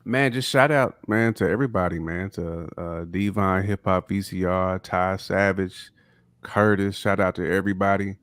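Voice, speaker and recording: A man with a fairly low voice talks hip hop on a live podcast in an easygoing way. The sound is close and dry, with hardly any room behind him. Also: fairly even intonation, normal speed, fairly bright tone, smooth, dry recording. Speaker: podcast co-host. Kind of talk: casual hip hop chat on a live stream.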